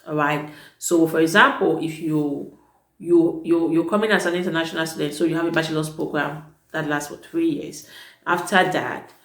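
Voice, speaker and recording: A woman's voice: sits low, steady tone, moderate pace. A woman who works as a teacher, giving casual advice in a vlog, chatty and emphatic, often correcting herself mid-sentence. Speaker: vlogger advising prospective students; teacher at an international school. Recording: echoey room.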